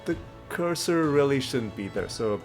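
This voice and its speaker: Man, slightly nasal, getting over a cold, rambling game commentary, middle pitch, faint music behind. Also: expressive, unhurried. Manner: rambling, self-correcting explainer. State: recovering from a cold. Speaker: let's play host. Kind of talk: casual gameplay commentary.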